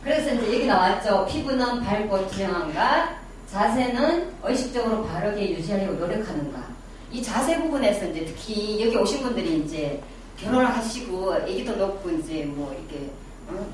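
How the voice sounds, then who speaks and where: Chatty lecturer with a Daegu accent. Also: female voice, mid-range voice, lively intonation, normal speed. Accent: Daegu Gyeongsang dialect. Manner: chatty, quizzes the audience, self-deprecating asides. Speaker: image-making lecturer. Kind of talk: casual classroom lecture. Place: lecture room with a small audience. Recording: very echoey room, distant mic, background noise.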